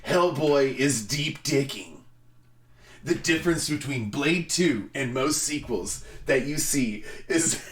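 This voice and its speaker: A man streaming, speaking in an emphatic, theatrical way with dramatic pauses. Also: mid-range voice. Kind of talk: dramatic reading of a film review.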